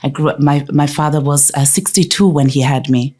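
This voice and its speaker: A woman from Austria speaks in a low voice as a podcast guest. She tells her story haltingly, with frequent fillers. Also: fairly even intonation, darkish tone. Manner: halting, many ums, laughs easily. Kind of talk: telling her life story.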